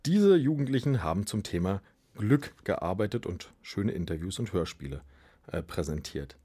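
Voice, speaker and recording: A man hosting a radio show, presenting with a husky voice. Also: lowish voice, a little mellow.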